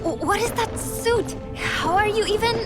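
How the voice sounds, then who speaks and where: An actress playing a determined, grieving young resistance fighter with a commanding manner in a video game cutscene. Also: female voice, very high voice, animated, moderate pace. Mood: shocked, demanding. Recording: dramatic game music behind.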